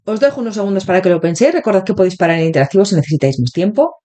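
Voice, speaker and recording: A woman with a Spain Spanish accent, hosting an interactive tarot reading and speaking directly to her viewers. Her throat is still sore from a cold, and she talks in a chatty way with many filler pauses. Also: mid-range voice, lively intonation, warm tone. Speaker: tarot reader, channel host.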